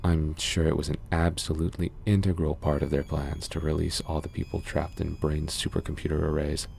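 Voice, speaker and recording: Casting: male actor as mysterious sci-fi ASMR doctor, extremely low and mellow, soothing, measured, guarded. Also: little pitch movement, gravelly, slight background noise, exaggerated voice. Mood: probing, guarded. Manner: gentle, measured, formal, reassuring. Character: mysterious, calm, guarded underworld cyberpunk doctor, man. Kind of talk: ASMR roleplay, soothing scripted monologue.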